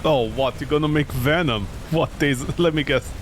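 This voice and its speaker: A man's voice: on the high side, normal speed, slight background noise. A man doing live game commentary, talking to himself in a sarcastic, exclamatory way. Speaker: gaming streamer.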